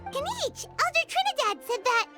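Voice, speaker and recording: A woman voicing a small, childlike, outspoken fairy companion in a video game, squeaky and chirpy. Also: sing-song, normal speed. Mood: matter-of-fact. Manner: refers to herself by name, outspoken. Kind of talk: video game character dialogue. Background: background game music.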